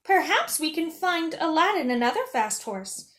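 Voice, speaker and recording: Woman voicing a high, fussy old sultan. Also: sing-song, normal speed, a bit bright, heavily acted. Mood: fretful. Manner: fussy, exclamatory. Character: doting, anxious, excitable old sultan father.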